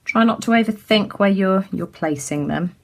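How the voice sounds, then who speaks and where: A woman from the UK giving a casual craft tutorial as she works, chatty and full of ums, her voice a little croaky. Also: mid-range voice, normal speed. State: slightly croaky. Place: indoors at a craft table.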